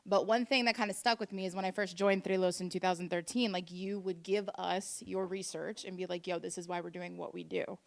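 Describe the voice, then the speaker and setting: A woman's voice: on the low side, fairly even intonation, brisk, vocal fry, few pauses. A woman steps up to a forum microphone and talks eagerly and casually. She rambles a little and peppers her speech with "like" as she makes her point. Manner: enthusiastic and chatty, with many "like"s and restarts. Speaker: dance group director. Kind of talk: audience comment at a forum.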